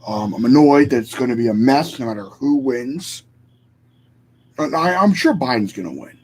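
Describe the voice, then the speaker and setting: A man's voice: medium pitch, animated, normal speed, a little hiss or hum. A man vlogging in a casual, rambling rant, opinionated and often correcting himself mid-sentence.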